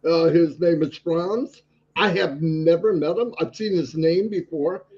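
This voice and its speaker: A man co-hosting a live stream, introducing a guest in a halting way with fillers. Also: on the high side, a little mellow. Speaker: co-host. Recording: dull lo-fi stream audio.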